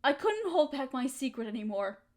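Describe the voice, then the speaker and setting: Actress for bitter, hurt young woman, high-pitched, emotional audio drama monologue, confrontational and accusing. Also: very animated, moderate pace, darkish tone. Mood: bitter, reminiscing. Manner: emotional, accusatory, pointed rhetorical questions. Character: hurt, resentful young woman confronting her longtime roommate.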